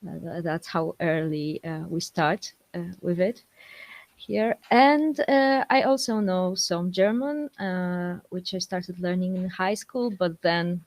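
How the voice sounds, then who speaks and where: A young woman from Poland speaking English with a Polish accent in a casual interview, pausing often with fillers. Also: fairly low pitch, a little mellow, no room sound. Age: young adult. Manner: hesitant, many fillers, laughs between answers. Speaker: guest scientist.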